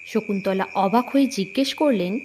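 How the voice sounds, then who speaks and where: A woman narrating an audio story in an expressive, dramatic storytelling manner. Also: medium pitch, moderate pace, a little hiss or hum.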